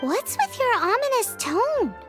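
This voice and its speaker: A woman voicing a tiny, childlike floating fairy companion in a video game, chirpy and cheeky with a squeaky voice. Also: big pitch swings, measured pace, full character voice. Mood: suspicious, wary. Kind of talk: video game character dialogue. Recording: studio voice over game music.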